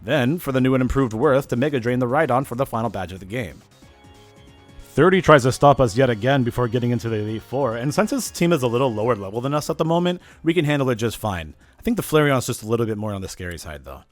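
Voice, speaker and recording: A man giving a casual, scripted voice-over for a video game challenge, with slangy, animated asides. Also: mid-range voice, quick pace, soft background music. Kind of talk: casual scripted gameplay narration.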